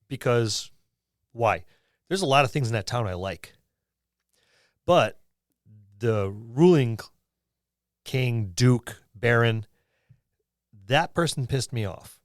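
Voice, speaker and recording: A man co-hosting a podcast, talking informally and haltingly as he thinks aloud about tabletop gaming. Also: mid-range voice, expressive, no echo, studio quality. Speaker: podcast co-host. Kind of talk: casual tabletop gaming discussion.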